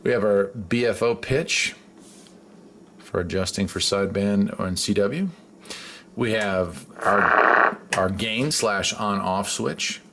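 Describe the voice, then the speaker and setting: A man giving a casual hands-on demonstration as a hobbyist, unhurried and thinking aloud. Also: on the low side. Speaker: radio hobbyist presenter. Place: indoors beside a shortwave receiver. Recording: clear background noise, radio static.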